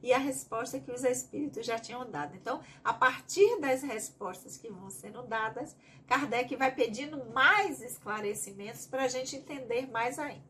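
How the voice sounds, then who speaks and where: A woman's voice: fairly high pitch, moderate pace, fairly bright tone, a little hiss or hum. A woman with a Bahian Brazilian accent, leading a spiritist study group; she reads each question aloud and then explains it at length in her own words. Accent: Bahian Brazilian Portuguese.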